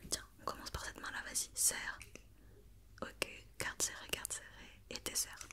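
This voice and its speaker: A woman whispering an ASMR roleplay as a doctor giving an examination, with soft, reassuring and encouraging step-by-step instructions. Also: unhurried. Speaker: ASMR performer playing an occupational doctor examining a robot.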